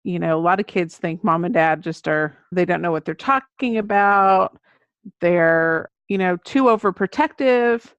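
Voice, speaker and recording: A woman's voice: fairly low pitch, a little slow, clean tone, dry recording, highs cut off. A middle-aged woman, an author appearing as a guest, telling anecdotes in a casual interview style. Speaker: children's book author, podcast guest.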